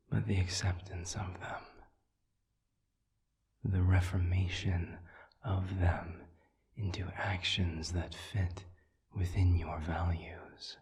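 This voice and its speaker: A man voicing a calm, wise demon counselor in an intimate audio roleplay, soft and breathy, speaking in measured phrases with deliberate pauses. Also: heavily acted. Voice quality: breathy, whispery, very low.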